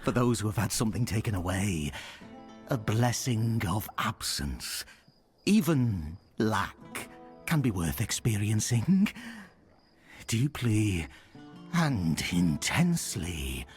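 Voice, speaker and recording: An actor playing a creepy, fawning, self-abasing scavenger peddler in a video game, speaking in a lingering, obsequious way. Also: male voice, on the low side, sing-song, faint music behind. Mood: mystical, coaxing. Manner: slow, lingering, obsequious, repeats words, chuckles. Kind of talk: video game character dialogue.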